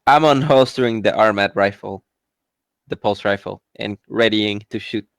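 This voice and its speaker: A man's voice: on the low side, moderate pace, vocal fry, dry recording. A man chatting casually as a player in a tabletop role-playing game.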